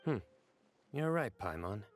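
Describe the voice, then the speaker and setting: An actor playing a stern, composed young law enforcer in a video game, speaking in a deliberate, authoritative, deadpan way. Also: male voice, mid-range voice, soft background music. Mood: calm, analytical.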